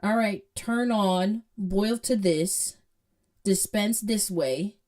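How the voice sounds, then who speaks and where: A woman's voice: mid-range voice, takes it slowly, a little mellow. A woman from Jamaica with a Jamaican accent, teaching a coding lesson in a playful, chatty way and often checking in with her listeners. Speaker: coding tutor. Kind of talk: casual screen-share tutorial.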